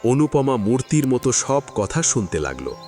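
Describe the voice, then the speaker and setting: A man narrating a classic story in an audio drama, with expressive, dramatic storytelling. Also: medium pitch, moderate pace, faint music behind.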